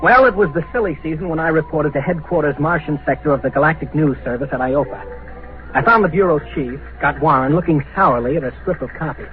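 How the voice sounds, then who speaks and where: A man's voice: fairly high pitch, fast talker, soft background music, natural voice. An American man acting a brash, persistent young news reporter who narrates a mid-century radio drama with wry confidence. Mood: wry narration. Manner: wry, breezy, confident first-person delivery. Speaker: narrator and lead character. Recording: tinny vintage radio broadcast recording.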